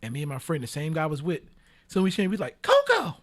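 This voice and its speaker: A man's voice: fairly high pitch, wildly swooping pitch, fast talker, fairly bright tone. A man speaking as a podcast guest, telling crude, joking stories in casual conversation. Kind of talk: casual bawdy storytelling.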